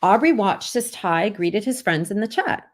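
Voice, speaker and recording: A woman's voice: mid-range voice, expressive, moderate pace. A woman author reading a children's story aloud to listeners. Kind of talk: scripted story read-aloud.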